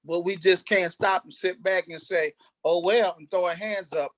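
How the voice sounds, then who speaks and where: A man with a high-pitched voice talks as a cast member in an unscripted discussion. He is emphatic and circles back over his phrases with restarts. The sound is dry and close. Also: fairly even intonation, a bit bright, no echo. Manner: emphatic, repetitive, halting restarts. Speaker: stage play cast member.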